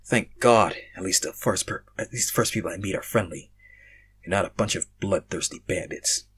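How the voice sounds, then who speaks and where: A bewildered young male stranger, played by a man reading aloud, with a lowish husky voice. He is halting and exasperated, swinging between panic and sarcasm, in the manner of a fan fiction story. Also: a bit bright, heavily acted. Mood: wry, anxious.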